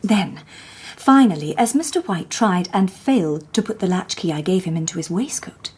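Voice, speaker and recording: An actress playing a shrewd, composed Victorian woman detective who narrates the story, with soft, measured diction. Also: lowish voice, animated, breathy edge. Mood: calm. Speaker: lady detective narrating and speaking. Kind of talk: radio drama narration and dialogue.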